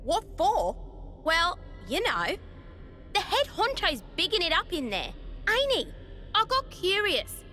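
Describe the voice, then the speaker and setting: A cocky game fighter who drawls cheeky slang. Her voice is very high, bright and lively, with faint music behind. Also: takes it slowly, exaggerated voice. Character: cocky laid-back fighter, teasing and blunt. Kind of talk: video game voice acting.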